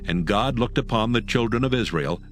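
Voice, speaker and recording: A man's voice: on the low side, animated, moderate pace. A man narrating scripture as storyteller in a dramatized audio production. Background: music.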